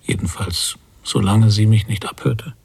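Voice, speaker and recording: An actor playing a weary man of fifty in a literary radio drama, husky and gravelly, brooding and deliberate in his telling. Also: lowish voice, a little mellow, exaggerated voice. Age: 50s. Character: weary man of fifty, an emigrant struggling to learn English.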